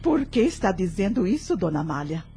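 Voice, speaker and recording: An actress playing a loyal, attentive maid in a radio drama, answering politely and deferentially. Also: female voice, medium pitch, very animated, warm tone, a little hiss or hum, lightly acted. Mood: chatty. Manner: deferential, quick replies.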